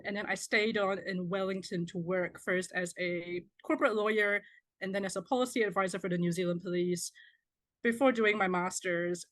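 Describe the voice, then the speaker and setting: A young woman from Malaysia, a guest on a podcast. She speaks in a friendly, chatty way at a mid pitch, dropping in uh and um as she goes. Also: fairly bright tone. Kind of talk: casual interview.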